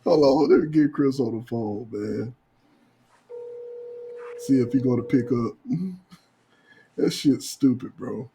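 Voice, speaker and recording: A man's voice: mid-range voice, slow, gravelly, pauses often, background noise. An American man hosting a podcast, telling comic stories among friends in a profane, slangy way and acting out what people said. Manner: animated, profane, slangy, acts out quoted exchanges. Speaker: podcast host.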